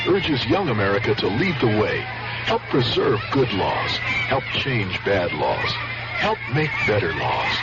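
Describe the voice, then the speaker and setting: A man reading an earnest scripted public service announcement for 1970s radio. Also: lowish voice. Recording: muffled 1970s radio broadcast. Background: loud music.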